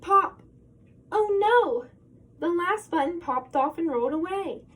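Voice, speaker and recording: A woman reading a children's picture book aloud in an expressive, sing-song manner, posing questions as if to young listeners. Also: extremely high pitch, a little slow. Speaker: storybook reader.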